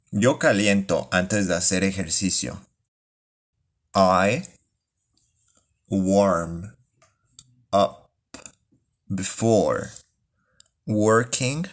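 Slow, deliberate male teacher. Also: on the low side, fairly bright tone. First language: Spanish. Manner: reads phrases slowly, then word by word. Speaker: English teacher. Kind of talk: bilingual language lesson, slow drilled repetition.

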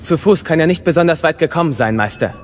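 A man's voice: on the high side, lively intonation, quick pace, big-room sound, a little hiss or hum, close to an everyday voice. An actor playing an impatient, curious young male apprentice warrior in an audio drama, brusque and insistent. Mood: urgent.

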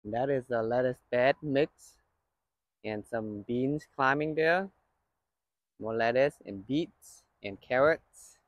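A man's voice: mid-range voice, takes it slowly, long pauses, no room sound, dull audio. A man giving a casual walkthrough of his outdoor vegetable garden while he harvests, filming on a handheld phone. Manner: relaxed, chatty, pointing things out while walking and harvesting. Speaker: gardening channel host. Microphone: handheld phone camera.

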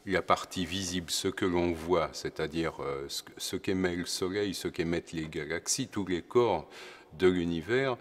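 A man's voice: deep voice, moderate pace. A man speaking as an astrophysicist, giving an unscripted educational explanation in a didactic way, searching for his words as he goes.

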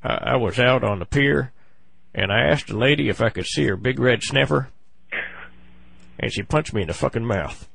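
A man with a gravelly voice making a comic prank phone call, halting and full of mock-earnest complaint. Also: mid-range voice, a bit bright, a little hiss or hum. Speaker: prank caller on a comedy show.

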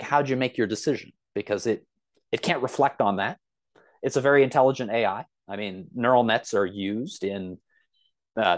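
A man talking as a podcast guest, explaining things casually and thinking aloud as he searches for words. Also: fairly low pitch.

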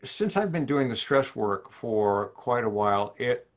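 An older man from New Orleans, a psychiatrist giving a measured webinar lecture to physicians over slides, often posing questions to his listeners, heard through a webcam in his home study. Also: medium pitch, expressive. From New Orleans, USA. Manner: measured, thoughtful, poses questions to the audience. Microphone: video call webcam.

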